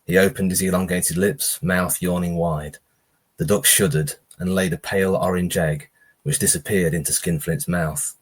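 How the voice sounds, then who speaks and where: A man with a very low voice reads horror fiction aloud as its author. His tone has a husky, roughened edge. The delivery is that of a live short-story reading. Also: steady tone, normal speed.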